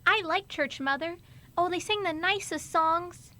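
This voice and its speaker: A woman acts as a frail little boy in a radio play. The voice is very high and bright, sweet, innocent and earnest. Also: measured pace, slight background noise, heavily acted. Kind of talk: radio drama acting.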